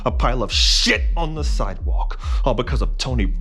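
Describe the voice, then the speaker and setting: A man's voice: medium pitch, lively intonation, a little fast, sharp tone, faint music behind. An actor playing a bitter, hardboiled male detective who blusters melodramatically in a radio play. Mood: ranting.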